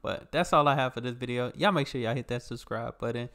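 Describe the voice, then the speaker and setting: A man's voice: mid-range voice, quick pace, a bit bright. A young American man hosting a reaction video, talking casually with easy laughs and slang into a headset mic. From United States. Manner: laid-back, laughing, slangy. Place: small room indoors. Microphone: gaming headset.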